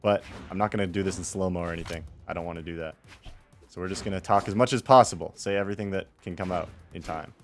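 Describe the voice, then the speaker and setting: A man's voice: low voice, quick pace, faint music behind. A man giving casual, off-the-cuff commentary on his own game replay in a rambling, wry and self-deprecating way.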